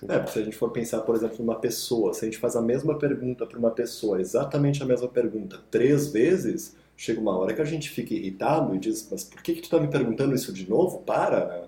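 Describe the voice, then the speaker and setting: A man who talks reflectively, building his points from everyday examples in a relaxed discussion. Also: mid-range voice, quick pace, few pauses. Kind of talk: conversational podcast talk. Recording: roomy echo.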